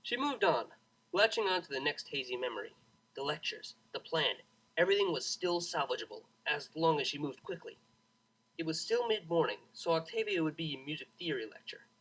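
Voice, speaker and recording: Animated, bright-voiced man narrating a story. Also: a little high, brisk, low-quality recording. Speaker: narrator, fan fiction audiobook reader. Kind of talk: expressive scripted story narration.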